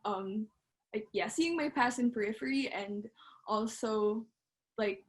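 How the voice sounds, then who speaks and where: A woman's voice: a little high, a little slow. A woman from the Philippines talking casually and hesitantly as an artist on a panel.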